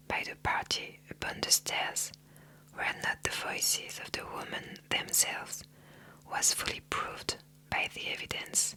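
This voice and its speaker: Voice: pushed and bright, poor audio. A reader whispering as a methodical detective. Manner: measured, analytical, unvoiced whisper.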